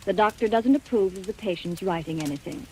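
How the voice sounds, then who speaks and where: An actress playing a firm, dutiful nurse in a 1940s radio suspense drama, on an old recording with music behind. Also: female voice, mid-range voice, brisk, very noisy, close to an everyday voice. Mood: stern, humouring. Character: firm, dutiful nurse minding a mad patient.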